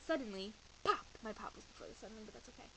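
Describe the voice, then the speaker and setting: A woman reading a children's bedtime story aloud in an expressive, animated way with dramatic emphasis. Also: on the high side, very fast, poor audio. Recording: faint hiss behind voice.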